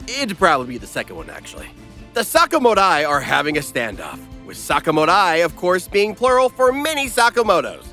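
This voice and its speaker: A man's voice: very high-pitched, pushed and bright. A man delivering flippant, irreverent comedic scripted recap narration.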